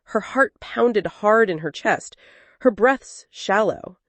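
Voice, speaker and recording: A woman's voice: medium pitch, lively intonation, slow, fairly bright tone, dry recording. A woman narrating a scripted dramatic story as a storyteller.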